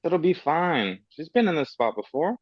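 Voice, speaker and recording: A man acting a blunt, regretful, foul-mouthed character in a dramatic script read, speaking haltingly. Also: a little high, big pitch swings, no echo, highs cut off. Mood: weary. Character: gruff, regretful, foul-mouthed man, guilt-ridden cousin.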